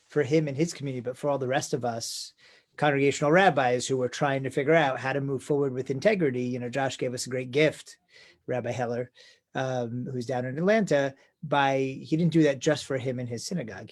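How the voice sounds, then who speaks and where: A man's voice: mid-range voice, fairly even intonation, brisk. A man in his forties, a rabbi interviewing a colleague, talking thoughtfully and often restarting his sentences. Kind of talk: conversational interview.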